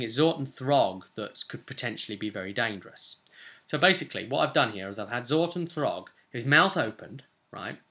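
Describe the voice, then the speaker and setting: A man's voice: medium pitch, gravelly, pushed and bright. A young British man giving a casual, emphatic recap to camera as a game master, chatting from his desk at home. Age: young adult. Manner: chatty, emphatic, gesturing storyteller. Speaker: vlogger and game master recounting a session. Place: small indoor home room, seated at a desk.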